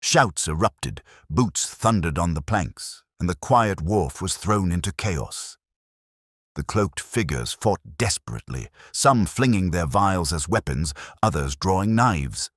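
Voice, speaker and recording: A man with an extremely low, slightly husky voice narrates a mystery tale in a grave, formal manner. His measured delivery rises and falls expressively, as in a dramatic audiobook. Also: expressive, no room sound, clean studio sound.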